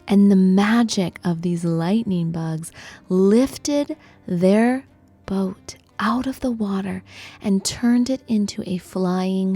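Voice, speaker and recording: Casting: female bedtime storyteller for kids, very unhurried and breathy with heavy creak, soothing guided-meditation delivery over faint music. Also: mid-range voice, dark tone.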